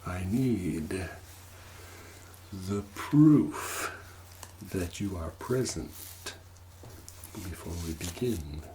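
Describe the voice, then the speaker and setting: A low, hushed master of a mystery manor, acted out by a man, speaks in formal, courteous ASMR roleplay. He draws his phrases out extremely slowly with deliberate pauses, slipping into whispers. There is noticeable noise behind the voice. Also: animated, full character voice. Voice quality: soft, hushed, partly whispered. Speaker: host.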